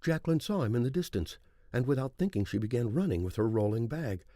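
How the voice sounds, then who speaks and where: A man's voice: mid-range voice, expressive, fast, mellow, no room sound, studio quality. A man reading scripted dramatic narration of a thriller audiobook.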